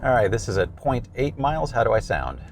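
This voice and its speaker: A man's voice: medium pitch, big pitch swings, fairly quick, a little hiss or hum. A man in his fifties with an American accent, explaining a product in a plain-spoken, conversational way. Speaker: presenter reviewing gear.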